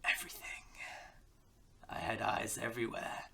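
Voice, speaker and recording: Villain for audio drama: smug crime boss played by a man, lowish forceful voice, theatrical and condescending menace. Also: completely flat delivery, moderate pace, gravelly, whispered, heavily acted. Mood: sinister, amused. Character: menacing, arrogant male crime boss, smug mentor turned captor. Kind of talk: audio roleplay villain acting.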